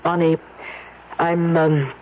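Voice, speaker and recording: A woman's voice: low voice, little pitch movement, takes it slowly, phone-quality sound. A woman playing a domineering, bitter middle-aged mother in an old radio drama, with a cutting, theatrical delivery. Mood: cold, resolved. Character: domineering, bitter wealthy mother of a young bride. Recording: noisy, thin old radio recording.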